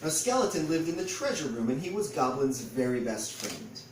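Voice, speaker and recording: A man's voice: medium pitch, big pitch swings, moderate pace. A man reading a picture book aloud to an audience as its author, in storytelling style. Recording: echoey room, distant mic, faint noise.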